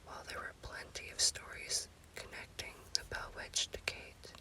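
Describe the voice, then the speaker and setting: A woman speaking in a breathy, unvoiced whisper in the style of an ASMR spooky storytelling host, with deliberate phrasing. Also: sharp tone.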